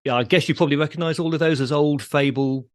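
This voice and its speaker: A British man hosting a podcast, explaining things in a measured, conversational way. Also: on the high side, steady tone, no room sound, clean studio sound. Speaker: podcast host.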